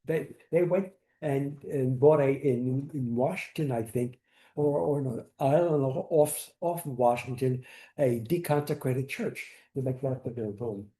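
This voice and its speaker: An older man telling personal stories on a community panel, halting and restarting, sprinkling in Yiddish words. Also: medium pitch, warm tone. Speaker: synagogue founder on a panel. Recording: echoey room.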